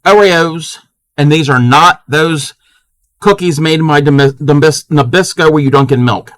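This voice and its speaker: A man's voice: fairly high pitch, normal speed, fairly bright tone, no room sound. A man from Maryland who chats casually on a crafting live stream, answering his chat as he works and often restarting his sentences. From Maryland, USA.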